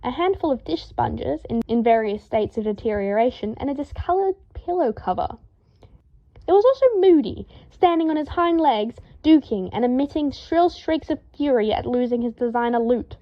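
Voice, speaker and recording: A woman reading a story aloud in a lively, expressive way, acting out the dialogue. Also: a little high, normal speed, dull audio. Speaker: fan fiction reader.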